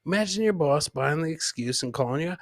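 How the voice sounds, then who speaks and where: A man hosting a live comedy podcast, casually reacting to funny clips in a rambling, jokey, animated way and repeating his catchphrases. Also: fairly high pitch, a little fast, no echo, studio quality.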